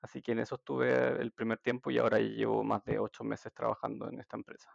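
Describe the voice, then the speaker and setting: A man with a Chilean Spanish accent, chatting as a podcast guest in a relaxed, hesitant storytelling way. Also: on the low side, fast, vocal fry, dry recording. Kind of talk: casual interview chat. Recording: dull, dry audio.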